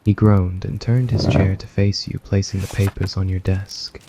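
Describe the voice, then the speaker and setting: A man reading a scripted story in a soft ASMR narration style. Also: sits low, little pitch movement, moderate pace, slightly rough.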